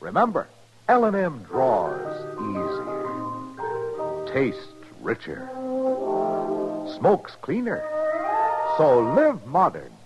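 A man's voice: mid-range voice, huge pitch swings, very slow, long pauses. A man delivering an emphatic, salesman-like 1950s radio commercial as the announcer. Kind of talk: 1950s radio advertising pitch. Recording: dull vintage radio, loud music behind.

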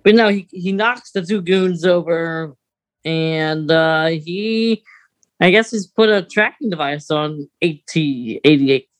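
A woman's voice: low voice, slow. A woman co-hosting a podcast, giving a casual, conversational recap of a story. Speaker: podcast co-host.

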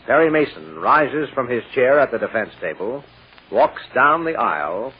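A man's voice: medium pitch, big pitch swings, normal speed, breathy edge. A man narrating a radio drama in a dramatic, scripted 1940s style. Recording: dull old-time radio recording.

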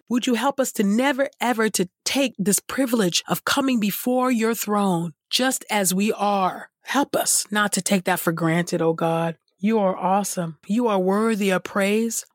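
A woman reading scripture and praying aloud in an earnest, reverent, deliberate way. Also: mid-range voice, dry recording, clean studio sound. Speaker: Bible reading podcast host. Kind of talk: scripture reading and spoken prayer.

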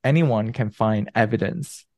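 A man from Malaysia in his thirties, a guest researcher giving measured, explanatory interview answers with a few restarts. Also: on the low side, darkish tone, no echo.

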